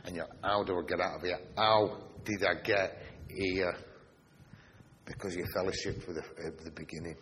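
A Yorkshire man preaching in a church, his fairly low voice carrying a long reverb through the hall. He leans hard on single words and tosses rhetorical questions to the congregation. A faint hiss sits under it all. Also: steady tone, fairly bright tone. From Yorkshire, England. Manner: emphatic, drawn-out stresses with rhetorical questions. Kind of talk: sermon.

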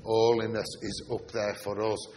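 A Yorkshire man preaching in a church, his fairly low voice carrying a long reverb through the hall. He leans hard on single words and tosses rhetorical questions to the congregation. A faint hiss sits under it all. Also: monotone, a little mellow. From Yorkshire, England. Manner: emphatic, drawn-out stresses with rhetorical questions. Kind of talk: sermon.

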